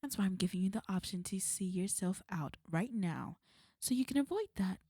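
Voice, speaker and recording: A woman playing a smug, menacing villain in an ASMR roleplay, taunting and threatening in a cool, unhurried way. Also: mid-range voice, expressive, dark tone, no room sound, studio quality. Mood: firm, coaxing. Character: smug, menacing female villain, fond of an enemy.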